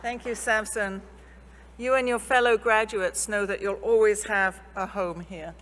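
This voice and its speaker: A woman's voice: medium pitch, fairly even intonation, measured pace, fairly bright tone. A woman presenting graduates at a university commencement in a formal, scripted way in a large ceremony hall. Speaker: university official presiding over commencement. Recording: long hall reverb, faint background noise.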